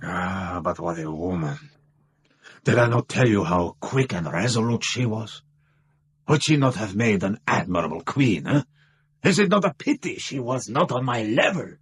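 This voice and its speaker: A pompous king in a radio play, grand and condescending, rough husky voice. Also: fairly low pitch, expressive, moderate pace. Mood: wistful, lofty. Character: pompous, haughty male King of Bohemia. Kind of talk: radio drama acting.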